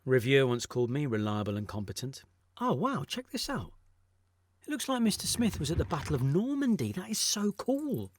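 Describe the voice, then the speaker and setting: A man's voice: a little high, huge pitch swings, brisk, no room sound. A man with a British accent playing an earnest, touchy jobbing actor in a naturalistic audio drama, speaking colloquially and hesitantly. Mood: curious, excited. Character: jobbing actor John, earnest, wistful, touchy, working a council clearance job.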